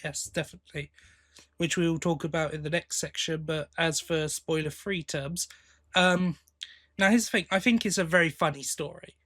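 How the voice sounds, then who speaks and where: A man's voice: fairly high pitch, fairly even intonation, moderate pace, pushed and bright. A man hosting a podcast, talking in a casual, conversational discussion style. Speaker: podcast host.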